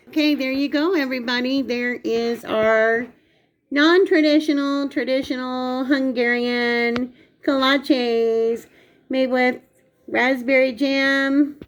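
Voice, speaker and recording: A woman hosting a home cooking video, her voice dry and raspy today, chatting casually as she explains each step. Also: high voice, muffled recording. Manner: chatty, explains steps while working. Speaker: cooking channel host. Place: home kitchen.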